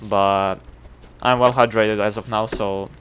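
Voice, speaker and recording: A man's voice: fairly low pitch, steady tone, unhurried, fairly bright tone, a little hiss or hum. A man from Serbia with a Serbian accent, chatting casually to his viewers while streaming a game, rambling and self-deprecating. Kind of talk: casual livestream chatter with chat.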